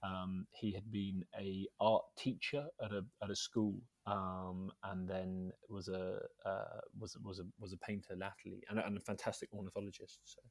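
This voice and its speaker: A young man from Scotland, a journalist and author, talking as a guest in a relaxed interview, thoughtful and hesitant with many ums and restarts. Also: sits low, normal speed, few pauses, no room sound.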